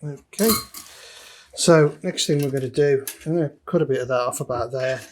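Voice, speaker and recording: A man's voice: medium pitch, moderate pace, a little mellow, slight background noise. A man giving casual, matter-of-fact tutorial commentary, talking through each step as he works on electronics. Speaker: DIY electronics presenter. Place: indoor electronics workbench.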